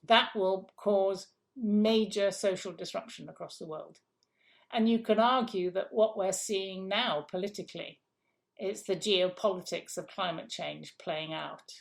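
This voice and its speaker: A woman's voice: mid-range voice, unhurried, gravelly. An older woman with grandchildren, a guest in an interview, answering in a forthright, emphatic way and often repeating herself as she thinks aloud.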